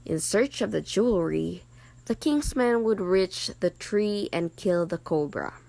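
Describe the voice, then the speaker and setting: A woman's voice: mid-range voice, lively intonation, unhurried, gravelly, warm tone, no echo. A woman narrating a scripted story as a storyteller.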